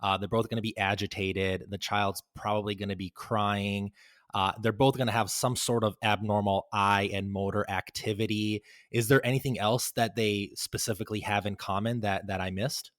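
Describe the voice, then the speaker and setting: Low-pitched man hosting, dry sound. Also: steady tone, normal speed, a bit bright, no echo. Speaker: podcast host. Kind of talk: conversational interview, summarizing.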